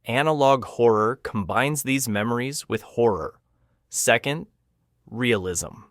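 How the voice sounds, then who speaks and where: A man narrating a documentary from a script, with a measured, ominous delivery. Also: medium pitch, no echo, clean studio sound.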